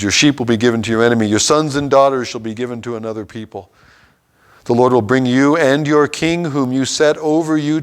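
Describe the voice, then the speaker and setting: A man teaching a Bible class, reading scripture passages aloud and stopping to explain them in an everyday way. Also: mid-range voice.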